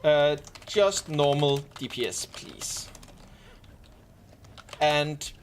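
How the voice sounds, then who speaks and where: A man live-streaming, gently and casually explaining game mechanics to his squad with wry asides. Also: on the high side, lively intonation, drawn-out words, slight background noise. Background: faint keyboard typing and clicks.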